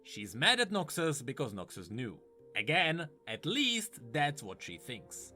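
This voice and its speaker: A man's voice: fairly high pitch, wildly swooping pitch, measured pace, sharp tone, faint music behind. A man giving scripted explanatory commentary in the style of a video narrator. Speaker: lore explainer.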